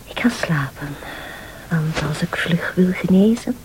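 An actress playing a weary, wounded, quietly controlling invalid wife, softly and deliberately, in a radio thriller. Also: female voice, lowish voice, expressive, background noise. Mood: soothing, quietly controlling.

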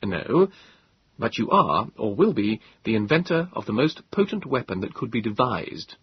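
A man voicing a young, cheerful and stubborn male inventor with a bright, brisk manner. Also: medium pitch, very animated, slightly rough, lo-fi sound.

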